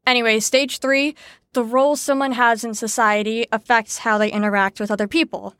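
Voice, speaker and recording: An actress playing a brisk, sarcastic teacher in an audio drama, lecturing in an articulate, wry way. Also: female voice, a little high, steady tone, fairly bright tone, no room sound, studio quality, natural voice. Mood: wry, impatient. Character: brisk, sarcastic female teacher explaining psychology theories. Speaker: lecturer leading a group lesson.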